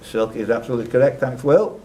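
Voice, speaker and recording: A man's voice: mid-range voice, quick pace, warm tone, a little hiss or hum. Chatty male quizmaster reading out questions. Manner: chatty, off-the-cuff asides between questions. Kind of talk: live pub-quiz hosting, reading out questions. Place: live quiz event at a social club.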